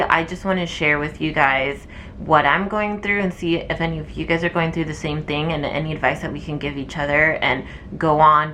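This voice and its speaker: A woman's voice: sits low, pushed and bright, slight background noise. A young woman, a nursing student, chatting casually to camera with lots of fillers, her voice wearing thin from talking. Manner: chatty and rambling, many fillers. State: losing her voice.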